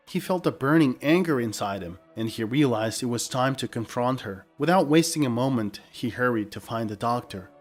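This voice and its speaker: A man's voice: medium pitch, expressive, moderate pace, few pauses, faint music behind. A man reading a scripted dramatic story as narrator.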